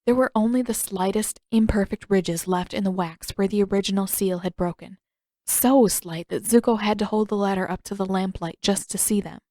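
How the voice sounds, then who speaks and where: A woman's voice: medium pitch, normal speed, mellow, dry recording. A woman reading scripted fiction aloud as a story narrator. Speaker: fan fiction author and narrator.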